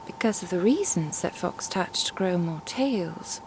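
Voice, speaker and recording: A woman acts out a composed female merchant and master in an ASMR audio drama. Her slightly low voice moves through lively intonation as she speaks formally and inquisitively. Faint ambient noise sits behind her. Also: unhurried. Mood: composed, probing.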